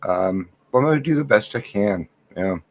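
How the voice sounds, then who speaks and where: A man hosting a recovery livestream, talking softly in a candid, rambling way while unwell and worn out. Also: fairly low pitch, animated, normal speed. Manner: rambling, candid, self-deprecating, many restarts. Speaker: livestream host of a recovery reflection. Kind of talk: casual livestream chat. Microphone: computer mic with gain turned up.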